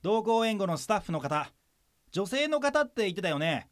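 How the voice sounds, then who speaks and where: A man playing an excitable, earnest younger brother in a comedic radio drama, with exaggerated delivery. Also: high voice, moderate pace. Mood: helpful. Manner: exaggerated, earnest, breaks into yelps and stammers.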